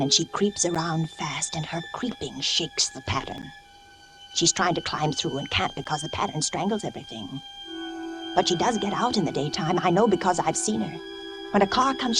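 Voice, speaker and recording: Haunted, secretive young wife, swooping voice. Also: female voice, lowish voice. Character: anxious, secretive young wife and mother slowly losing her mind. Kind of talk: vintage suspense radio drama acting, first-person narration.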